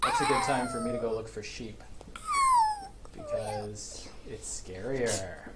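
A middle-aged man, a father playing a video game with his daughter, talking calmly and dryly and explaining things patiently. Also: lowish voice, slow pace. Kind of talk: casual gameplay chat. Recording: clear background noise.

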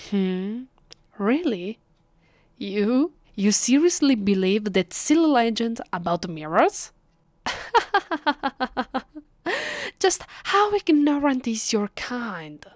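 A woman with an Italian accent playing a haughty, teasing ancient vampire mistress in a soft, half-whispered ASMR roleplay, condescending and commanding. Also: medium pitch, sing-song, extremely slow, pauses often, no echo, studio quality. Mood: mocking, proud. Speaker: voice actress. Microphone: close, dry microphone.

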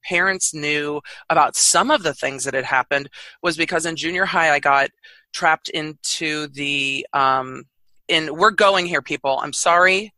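A woman in her thirties with a low voice, telling her story openly and frankly as a podcast guest. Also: a little slow, no room sound. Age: 30s. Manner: open, frank, lively. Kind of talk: candid conversational storytelling.

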